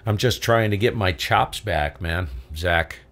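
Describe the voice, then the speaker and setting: Man from Vermont, low voice, chatty radio-hobby host who exclaims at his screen. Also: fairly bright tone. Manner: spells call signs slowly and clearly on air. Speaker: amateur radio operator and stream host. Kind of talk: casual live-stream commentary and radio contacts.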